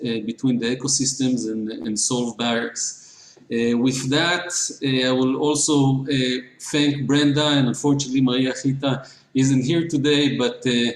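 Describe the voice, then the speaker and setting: A man hosting a webinar who introduces the next speaker in a halting way, with many filler sounds. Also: mid-range voice. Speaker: event host. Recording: echoey room.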